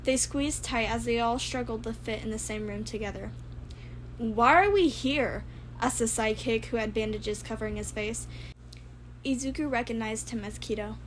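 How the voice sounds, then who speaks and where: A woman's voice: fairly high pitch, fairly even intonation, a little fast, slight background noise, poor audio. A woman reading scripted story narration as a fan fiction narrator.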